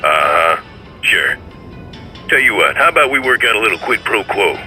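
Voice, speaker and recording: Shady sardonic dealer in a game, very sharp edgy voice, pitch jumps around, sly. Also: male voice, very animated, moderate pace, faint music behind, heavily acted. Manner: sly, wheeling and dealing. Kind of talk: video game cutscene voice acting.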